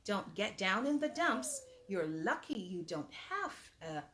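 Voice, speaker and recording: A woman reading a children's bedtime story aloud in an expressive, emphatic storybook manner, with asides to young listeners. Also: medium pitch, measured pace, slight background noise.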